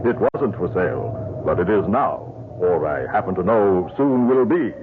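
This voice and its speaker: A man's voice: low-pitched, lively intonation, normal speed. A man playing a smug, blustery lord in an old-time radio mystery, pompous, condescending and slyly prying. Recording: noisy narrowband old radio broadcast.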